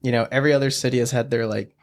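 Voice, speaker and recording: A young man guesting on a podcast, telling stories in a relaxed, rambling way and saying "like" a lot. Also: mid-range voice, racing. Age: young adult. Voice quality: gravelly, heavy use of "like". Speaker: podcast guest, studio founder. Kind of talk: casual conversation.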